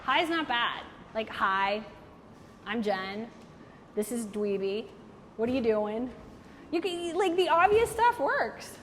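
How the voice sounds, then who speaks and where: A woman with a slightly high voice speaks to a live audience, candid and playful, peppering her advice with "like". The sound rings in a reverberant hall with faint background noise. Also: takes it slowly, long pauses. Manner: candid, joking, animated, frequent "like". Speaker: networking speaker. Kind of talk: lively talk to an audience, taking questions.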